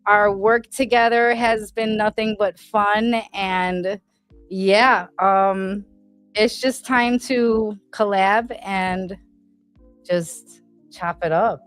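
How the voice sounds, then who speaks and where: A woman's voice: medium pitch, smooth. A woman hosting a casual podcast, asking loose, rambling questions full of fillers. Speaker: podcast host.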